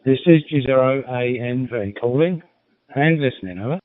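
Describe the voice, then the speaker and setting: A middle-aged or older man chatting as an amateur radio operator, halting and understated, over a radio link. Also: medium pitch, animated, dry recording. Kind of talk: casual radio chat. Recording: narrow-band, phone-like radio link.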